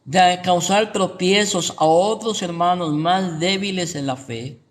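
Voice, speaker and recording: A man's voice: sits high, takes it slowly. A Guatemalan man with a Guatemalan Spanish accent, a pastor giving informal Bible teaching and checking in with rhetorical questions. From Guatemala City, Guatemala.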